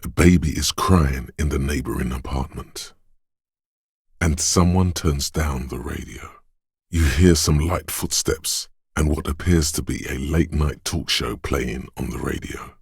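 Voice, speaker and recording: A gravelly, grim inner voice of the senses. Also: male voice, a bass voice, dry recording, clean studio sound, exaggerated voice. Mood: brooding. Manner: slow, hushed, lingering on sensory detail. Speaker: voice of a game's inner perception skill. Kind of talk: second-person game narration.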